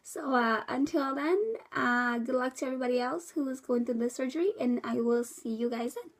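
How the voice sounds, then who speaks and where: A young woman from the Midwest talks casually to camera, a bit congested from a cold. Her fairly high voice keeps sliding into a gravelly fry. She wanders between thoughts with plenty of ums and restarts. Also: fairly quick. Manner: rambling, with many fillers and restarts. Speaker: vlogger. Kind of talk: casual recovery update to camera.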